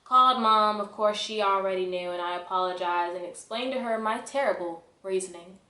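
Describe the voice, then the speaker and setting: A woman's voice: medium pitch, a little fast, bright, forceful tone. Echoey young woman telling a dramatic story. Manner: self-mocking, dramatic, emphatic. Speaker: vlogger telling a personal story. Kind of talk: animated casual storytelling to camera. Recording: echoey, roomy sound.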